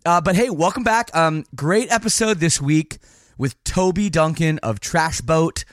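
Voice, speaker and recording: A man hosting a podcast, slightly hoarse, chatting casually with upbeat, rambling asides. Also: fairly high pitch, measured pace, no echo, studio quality. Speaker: podcast host.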